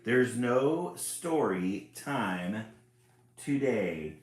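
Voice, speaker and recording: A man voicing a cranky, fed-up talking children's book that orders readers to go away, in a gruff, bossy way. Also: mid-range voice, expressive, drawn-out words, echoey room, soft background music, voice pushed up. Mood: exasperated.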